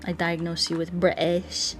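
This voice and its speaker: A woman's voice: fairly low pitch, completely flat delivery, unhurried. A woman chats casually as she streams a game, with music playing behind her. Her manner is goofy and playful, and she rambles along in an easygoing conversational style. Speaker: game livestreamer. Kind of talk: casual livestream chat while playing.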